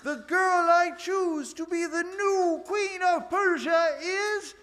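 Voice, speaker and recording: A woman voicing a smitten, kindly king in a puppet show, with drawn-out words and dramatic pauses. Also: very high-pitched, a bit bright, exaggerated voice.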